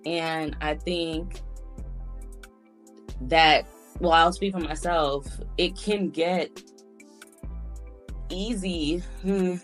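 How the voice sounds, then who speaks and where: A woman with a low voice talks casually and candidly, like a host in a relaxed conversation. She sounds reflective as she goes, with soft music behind her. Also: extremely slow, long pauses. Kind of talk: casual chat.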